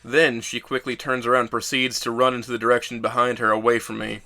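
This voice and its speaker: A man's voice: medium pitch, level tone, fast, bright, forceful tone. A man playing a bitter, self-loathing young man who narrates his own story in a sardonic, brooding way for an audio drama. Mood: wry, regretful.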